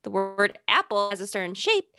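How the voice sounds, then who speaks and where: A woman's voice: medium pitch, big pitch swings, moderate pace, pushed and bright, no room sound, studio quality. A woman with a Chicago accent co-hosting a podcast, explaining design topics with enthusiasm. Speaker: podcast co-host. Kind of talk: casual podcast chat.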